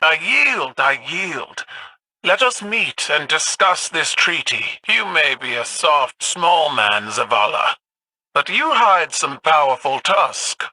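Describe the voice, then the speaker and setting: A proud alien empress for a video game. The voice is very bright and forceful, dry and close, with slow, imperious, formal phrasing and a radio filter. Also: female voice, low-pitched, sing-song, husky, no room sound, exaggerated voice, poor audio. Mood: solemn. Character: proud warlike alien empress, commanding and shrewd. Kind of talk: video game character acting.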